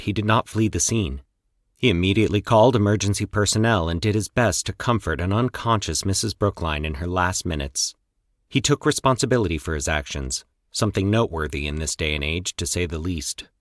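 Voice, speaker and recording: A man with a low voice reads scripted horror fiction as a podcast narrator. His delivery is measured and theatrical, with lively rises and falls, recorded dry with no room sound. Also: dry recording.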